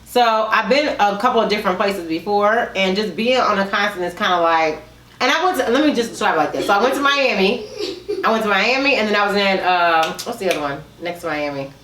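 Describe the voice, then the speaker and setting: A woman's voice: mid-range voice, fast, slight background noise. A woman in her thirties co-hosting a casual conversation, speaking animatedly and agreeing often, seated at a table indoors. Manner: animated, emphatic agreeing, frequent "right". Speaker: co-host. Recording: roomy echo.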